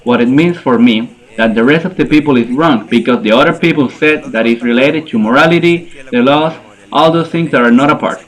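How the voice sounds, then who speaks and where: A man's voice: medium pitch, moderate pace, fairly bright tone, rough recording. Hesitant man answering an interview. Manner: hesitant, searching for words. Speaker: interviewee, archaeologist. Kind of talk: answering interview questions in English.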